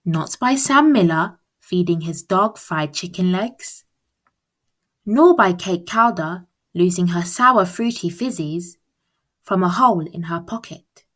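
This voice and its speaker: A woman reading a children's picture book aloud as storyteller, with expressive, deliberate phrasing. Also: lowish voice.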